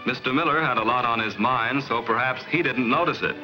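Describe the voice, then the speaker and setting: An American man giving measured, explanatory scripted narration in the style of a 1950s industrial film. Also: mid-range voice, over music, low-quality recording.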